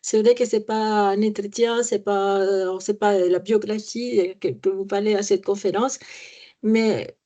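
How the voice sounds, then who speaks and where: A woman moderating a conference panel who asks her questions haltingly, searching for words. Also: mid-range voice, steady tone, warm tone.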